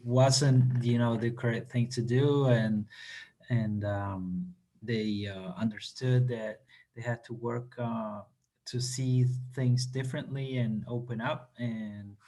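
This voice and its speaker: A man from Argentina, a native Spanish speaker, answering interview questions over a video call, pausing often with fillers and restarts. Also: medium pitch, mellow, slight background noise, rough recording. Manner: halting, many fillers and restarts. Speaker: company representative guest.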